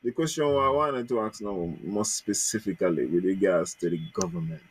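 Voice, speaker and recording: A man's voice: sits low, moderate pace, slightly rough, no room sound, poor audio. A man hosting an interview, talking in a loose, conversational way as he sets up his questions. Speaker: host. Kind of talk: casual interview chat.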